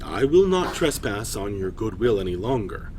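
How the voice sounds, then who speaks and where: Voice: medium pitch, sing-song, normal speed, a little mellow, a little hiss or hum, lightly acted. A man playing a noble warrior king in a fantasy story, speaking formally with gracious command. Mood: grateful, formal. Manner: formal, declarative.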